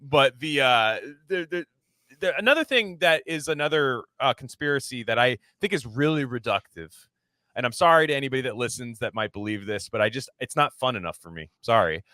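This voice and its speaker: A man's voice: medium pitch, animated, fairly quick, bright, forceful tone, dry recording, clean studio sound. A man hosting a casual podcast, rambling through his opinions and repeating himself for emphasis. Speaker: podcast host.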